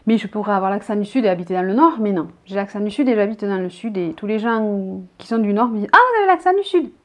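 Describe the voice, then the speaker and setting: A woman's voice: mid-range voice, animated, fast talker. A woman in her thirties from the south of France, with a southern French accent, chatting casually as a vlogger and reading out tag questions from her bedroom. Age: 30s. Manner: chatty, rambling, reads each question out loud. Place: bedroom, indoors.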